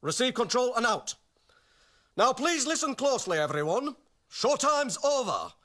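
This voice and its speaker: An actor playing a brisk police inspector in a radio play, clipped, commanding and sardonic, with noisy, clicking radio sound. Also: male voice, very high voice, very animated, a bit bright, exaggerated voice. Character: brisk male police inspector handling an armed siege.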